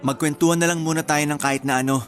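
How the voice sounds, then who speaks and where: A man's voice: a little high, fairly even intonation, very fast, lightly acted. An actor playing a casual, laid-back groom-to-be in his thirties in a radio drama, with a Filipino accent. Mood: casual, easygoing. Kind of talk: Tagalog radio drama dialogue.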